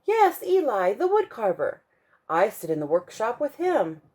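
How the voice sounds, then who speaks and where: A woman voicing a cheerful, carefree female wooden doll in a storybook reading, with a bright, breezy manner. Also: mid-range voice, huge pitch swings, measured pace. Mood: cheery.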